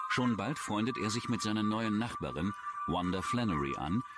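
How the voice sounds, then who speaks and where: A man reading scripted true-crime documentary narration as the narrator. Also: lowish voice. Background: music.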